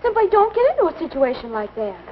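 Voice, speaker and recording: A woman's voice: sits high, big pitch swings, fast talker, slight background noise. A woman playing a sensible, earnest teenage girl in a 1950s instructional film, speaking carefully. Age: young adult. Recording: muffled old educational film soundtrack.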